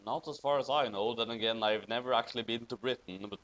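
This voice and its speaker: A man's voice: mid-range voice, quick pace, a bit bright, poor audio. A man from Norway hosting a casual commentary on fan fiction, talking in a hesitant, rambling way. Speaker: host of a commentary reading.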